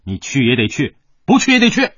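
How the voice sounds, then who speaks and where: A man's voice: medium pitch, huge pitch swings, a little fast, a bit bright, slightly rough, no echo, muffled recording, exaggerated voice. A male actor playing a proud, devoted working-class father in a radio drama, middle-aged and emphatic, torn between sternness and tenderness. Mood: stern. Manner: emotional, sighing, emphatic. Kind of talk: Chinese radio drama acting.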